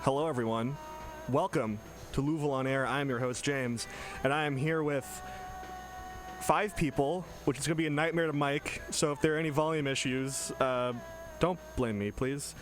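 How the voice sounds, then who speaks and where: A man's voice: medium pitch, moderate pace, over music. A man hosting a radio show in a casual, joking and self-deprecating way, speaking into a studio microphone. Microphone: studio microphone with headphones.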